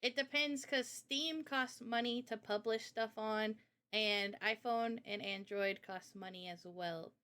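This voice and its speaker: A woman hosting a relaxed question-and-answer chat as a game developer, explaining things informally. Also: fairly high pitch, a little slow. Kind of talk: casual chat, Q&A.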